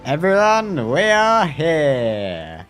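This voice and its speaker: A man's voice: fairly high pitch, huge pitch swings, very slow, fairly bright tone, faint music behind. A man live streaming a game, playfully exclaiming and reading dialogue aloud.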